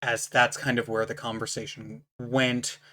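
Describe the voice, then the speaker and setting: Host laying down the rules, bright sharp voice, powerful and distinct, blunt and emphatic. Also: mid-range voice, little pitch movement, measured pace. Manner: emphatic, lecturing, blunt rule-setting. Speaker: channel host reviewing a book. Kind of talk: casual explanatory talk to camera.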